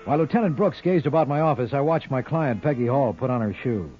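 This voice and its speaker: A man's voice: medium pitch, lively intonation, quick pace, faint music behind, natural voice. Man playing a glib radio-detective lawyer, muffled vintage sound. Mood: wry, confiding. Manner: glib, wry banter. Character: wisecracking, flirtatious male lawyer in a detective story. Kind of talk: 1950s radio detective acting. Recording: dull old-time radio recording.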